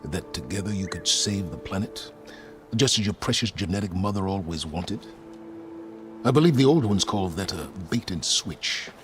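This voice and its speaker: A low voiced older schemer type, formal and condescending, video game cutscene with music under it. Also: male voice, expressive. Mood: sardonic. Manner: formal, measured, dryly sardonic. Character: grave, cunning, condescending older male scholar and strategist. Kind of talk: cinematic video game acting.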